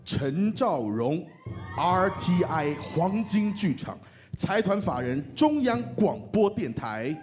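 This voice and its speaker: Taiwanese guy announcing nominees live, higher voice, really animated n jokey. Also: slow pace, music in the background. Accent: Taiwanese Mandarin. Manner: animated, joking. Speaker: radio host and actor co-announcing award nominations. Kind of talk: playful live ceremony presenting.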